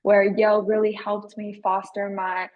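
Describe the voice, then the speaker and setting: A woman's voice: medium pitch, level tone, measured pace, dull audio, a little hiss or hum. A woman from Shanghai and Toronto, an alumna panelist giving an upbeat introduction over a video call.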